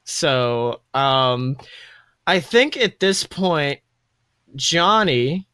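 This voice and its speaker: A man's voice: a little high, lively intonation, drawn-out words, pushed and bright, clean tone, no echo. A young man casually narrating a tabletop game as game master, playful, exclamatory and sweary. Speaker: game master and podcast host.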